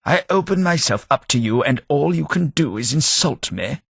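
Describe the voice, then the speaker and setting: A man with a British accent voicing a centuries-old, courteous gentleman companion in a video game, formal and dryly wry. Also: on the high side, animated, moderate pace, gravelly, breathy edge, no room sound, clean studio sound, full character voice. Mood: wounded.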